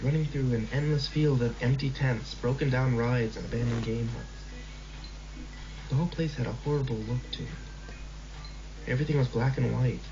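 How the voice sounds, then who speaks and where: A man telling a horror story in measured first-person narration, over a slightly dull recording with background music. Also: mid-range voice. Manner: measured, slow in tense passages.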